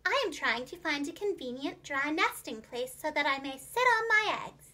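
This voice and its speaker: A woman's voice: high voice, lively intonation, normal speed, sharp tone. A woman voicing a naive, fretful female farm duck in a storybook, prim and earnest.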